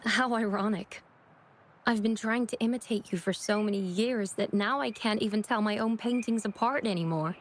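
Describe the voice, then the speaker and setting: A woman's voice: mid-range voice, normal speed, a little hiss or hum, lightly acted. Woman acting a rueful, self-doubting female painter. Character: remorseful, self-doubting woman painter, once obsessively envious. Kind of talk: video game story dialogue acting.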